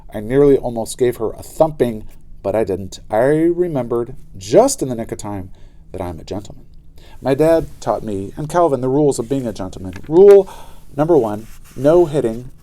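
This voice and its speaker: A man narrating a children's story aloud in an expressive, dramatic way. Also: mid-range voice, moderate pace. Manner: animated, dramatic delivery.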